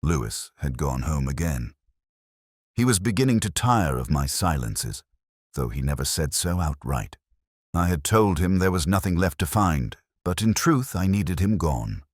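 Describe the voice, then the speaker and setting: A man voicing a weary middle-aged Oxford detective, narrating in first person in a measured, reflective way. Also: a bass voice, big pitch swings, dry recording, studio quality, heavily acted. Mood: brooding. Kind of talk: scripted audio drama narration.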